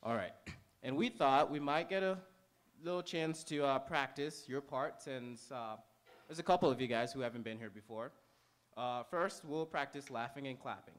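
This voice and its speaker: Casual, halting male stage host. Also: medium pitch. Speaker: host warming up a live theater audience. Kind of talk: informal pre-show announcement to an audience. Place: on stage in an auditorium before a live audience.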